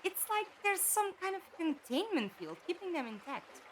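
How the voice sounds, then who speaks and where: Woman acting as practical inquisitive captive, audio drama, high voice, lively intonation, direct, conversational, slightly wry. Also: moderate pace, slight background noise. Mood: animated.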